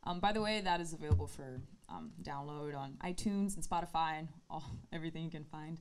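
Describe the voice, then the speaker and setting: A woman's voice: low voice, a little fast, a little hiss or hum. Giggly woman introducing a song. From Brazil. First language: Portuguese. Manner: light, giggly, with frequent ums. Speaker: guest musician.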